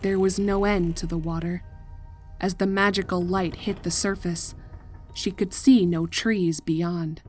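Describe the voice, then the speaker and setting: A woman reading a scripted horror story as narrator, in a measured and deliberate dramatic style. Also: on the low side, fairly even intonation, darkish tone, faint music behind. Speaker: fan fiction narrator.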